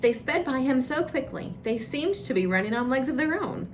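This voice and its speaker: A woman's voice: high-pitched, animated, normal speed, slight background noise. A woman reading a children's storybook aloud as a story time host, expressively, drawing out suspenseful phrases.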